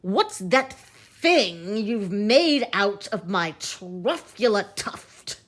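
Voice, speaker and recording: A woman voicing a short, old, mossy forest creature in a storybook, bossy and indignant, declaiming sharply and loudly. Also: mid-range voice, big pitch swings, very slow, full character voice. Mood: upset, scolding.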